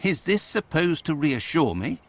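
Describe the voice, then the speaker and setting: An actor playing an exasperated, proper English gentleman sidekick in a video game, with a British accent and politely incredulous complaints. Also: on the high side, very animated, a little slow. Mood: indignant. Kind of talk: video game cutscene acting.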